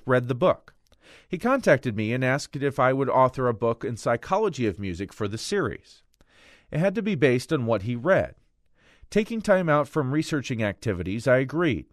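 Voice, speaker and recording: A man reads a written academic memoir aloud in a formal, measured, carefully phrased way. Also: mid-range voice, no echo, studio quality. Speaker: reader of a written paper. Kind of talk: scripted narration of a first-person academic memoir.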